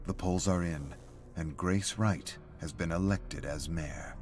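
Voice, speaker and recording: A man narrates a scripted story in a very low, deep voice. His delivery is that of a prose reader, with music playing softly behind him. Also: slow pace.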